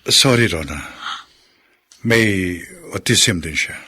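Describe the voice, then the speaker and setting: A sober, soothing man in a radio drama. His voice is low and he speaks with grave restraint. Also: animated, slow, pushed and bright, breathy edge, heavily acted. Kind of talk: Filipino radio drama acting.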